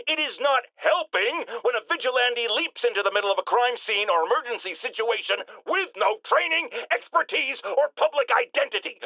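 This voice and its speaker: A man's voice: high voice, creaky, pushed and bright, no echo, heavily acted, rough recording. An American man playing a pompous, self-righteous older talk radio host in a video game, indignant and emphatic. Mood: exasperated, righteous. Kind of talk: theatrical radio talk show rant.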